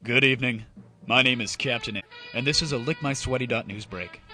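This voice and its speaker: A man voicing a pompous news anchor, reading a mock bulletin in a formal, deadpan, emphatic way. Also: medium pitch, brisk, natural voice. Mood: solemn, self-important. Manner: formal, deadpan, stiltedly emphatic newsreader delivery. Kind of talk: parody news broadcast narration. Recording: loud music bed behind voice.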